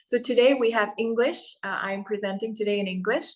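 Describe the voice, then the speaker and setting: A woman in her forties, born in Korea and raised in Hong Kong, Thailand and Singapore, hosting an education webinar in a steady, organized way with frequent "uh" and "um" fillers. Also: medium pitch, fairly quick. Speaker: webinar host and education center founder. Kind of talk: presenting a live webinar.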